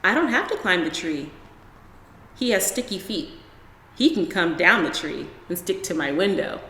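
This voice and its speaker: An eager, brave little storybook boy, voiced by a woman, earnest and proud. The pitch moves about freely in a reverberant, echoing room. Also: expressive, moderate pace, a bit bright. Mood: matter-of-fact. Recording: roomy echo with long reverb.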